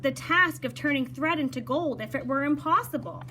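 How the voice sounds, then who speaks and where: A woman's voice: sits high, moderate pace, pushed and bright, slight background noise. A woman voicing earnest, polite young brothers in a children's folktale, with emphatic delivery. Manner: emphatic storybook dialogue.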